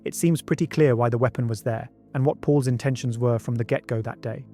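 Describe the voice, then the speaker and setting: A man's voice: medium pitch, steady tone, fairly quick, mellow. A man narrating a true-crime documentary from a script, with conversational asides. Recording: music bed behind the voice. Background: background music.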